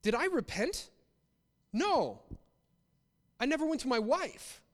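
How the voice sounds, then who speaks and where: A man's voice: extremely high pitch, very animated, takes it slowly, fairly bright tone. A man preaching a sermon in a conversational but emphatic way, repeating phrases for stress. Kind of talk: sermon with acted-out example quotes. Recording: long hall reverb.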